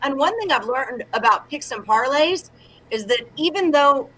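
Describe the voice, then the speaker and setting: A woman's voice: high voice, expressive, moderate pace, pushed and bright, rough recording. A woman co-hosting a casual sports betting talk show, chatty and full of fillers. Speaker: co-host and picks proxy.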